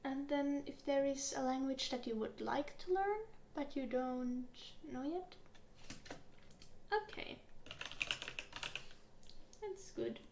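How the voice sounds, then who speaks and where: A young Finnish woman speaks softly and slowly in a light, high voice, easing through an ASMR interview roleplay with gentle fillers. Her voice carries a roomy echo, with faint ambience behind it. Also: a little hiss or hum. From Finland. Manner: gentle, unhurried, with soft giggles and filler words. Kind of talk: soft-spoken ASMR roleplay.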